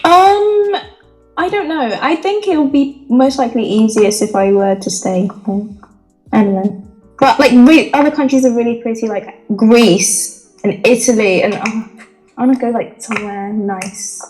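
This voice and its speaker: A woman's voice: on the high side, unhurried, a little mellow, faint music behind, rough recording. A woman from England, chatting casually and informally with friends. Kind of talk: casual group chat.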